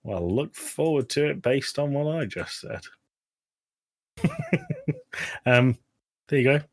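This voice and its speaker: A man's voice: medium pitch, animated, slow, gravelly. A man co-hosting a podcast, chatting casually about films in an irreverent, self-deprecating way. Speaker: podcast co-host. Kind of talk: casual film chat.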